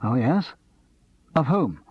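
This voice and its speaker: A man voicing a shrewd, dry middle-aged amateur detective who asks level, probing questions, husky and muffled. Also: mid-range voice, big pitch swings, slow pace, no room sound, exaggerated voice.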